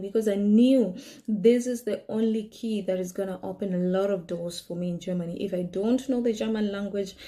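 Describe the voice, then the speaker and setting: A young woman from Kenya, not a native English speaker, telling her personal story earnestly with many "you know"s. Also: medium pitch. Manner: earnest and animated, with frequent "you know" and laughs. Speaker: vlogger.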